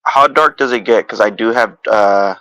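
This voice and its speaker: Bright, forceful male tabletop player. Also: fairly low pitch, normal speed, dry recording, dull audio. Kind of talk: casual game-table talk.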